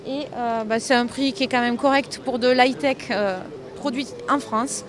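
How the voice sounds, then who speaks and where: A woman exhibitor pitching her product at a fair stand, explaining eagerly with a few fillers. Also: fairly even intonation, moderate pace. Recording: noisy fair crowd behind.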